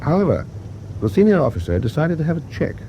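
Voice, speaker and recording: An actor playing a middle-aged British warship captain in a wartime radio drama, reflective and kindly, with measured, clipped officer speech. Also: male voice, fairly high pitch, sing-song, dark tone, a little hiss or hum. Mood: grave, haunted.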